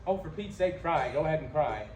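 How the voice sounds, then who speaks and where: A woman's voice: deep voice, animated, brisk, darkish tone, far from the mic. Sarcastic young lawyer husband, played by a woman: practical, dry, exasperated stage delivery; echoey, slightly noisy room. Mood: incredulous, resigned. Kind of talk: stage comedy-drama acting. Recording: echoey room with background noise.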